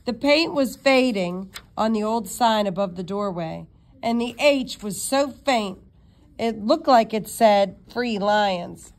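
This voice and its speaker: Lady reading a kids book out loud, bit high voice, expressive, trips on words sometimes. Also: measured pace. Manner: expressive storytelling, stumbles and re-reads words. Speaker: read-aloud narrator. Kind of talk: reading a children's novel aloud.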